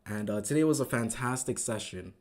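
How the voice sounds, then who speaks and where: A man hosting a trading live stream, recapping the market in casual, upbeat talk that keeps asking "right?", his voice growing a little raspy. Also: medium pitch, expressive, a little fast. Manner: enthusiastic, repeats words, asks "right?" often. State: voice getting raspy.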